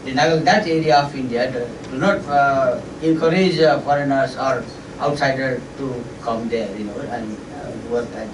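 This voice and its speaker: A man with a Nepali accent and a medium-pitched voice makes informal panel remarks. He explains in a halting, repetitive way. The recording is distant and echoey, with clear background noise. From eastern Nepal. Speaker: panel discussant.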